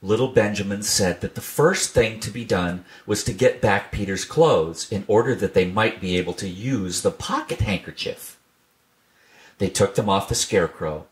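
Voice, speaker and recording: A man reading a classic children's storybook aloud in a gentle, measured storytelling manner. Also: lowish voice. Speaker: reader.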